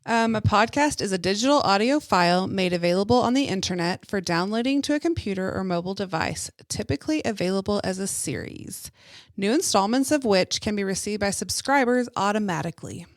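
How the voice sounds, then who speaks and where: A woman co-hosts a casual church podcast. She answers with dry, teasing one-liners. Her voice is medium in pitch and sounds close and dry. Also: animated, fairly quick, dry recording. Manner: dry, teasing, sarcastic quips. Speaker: podcast co-host.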